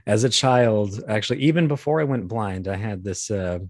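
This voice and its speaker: Low-voiced man, rambling and wry. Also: expressive, moderate pace, no echo, clean studio sound. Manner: rambling, wry, self-deprecating humor. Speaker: interview guest, voice actor and performer. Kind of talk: casual chat, telling anecdotes.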